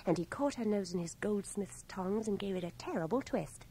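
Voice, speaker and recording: A woman's voice: mid-range voice, expressive, normal speed, warm tone, slight background noise, lightly acted. An actress playing a forthright, affectionate young woman in a radio drama, teasing, direct and articulate. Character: forthright, playful, affectionate young woman, wartime nurse and lover. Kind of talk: 1980 radio drama acting. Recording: cassette transfer of radio drama, faint music.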